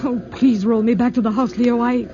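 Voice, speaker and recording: An actress playing a hunter's bitter, fearful but defiant wife, melodramatic and pleading in 1940s radio style. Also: female voice, a little high, fairly even intonation, a little fast, natural voice. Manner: melodramatic, pleading yet firm. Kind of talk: 1940s radio drama acting. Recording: muffled, noisy 1940s radio recording.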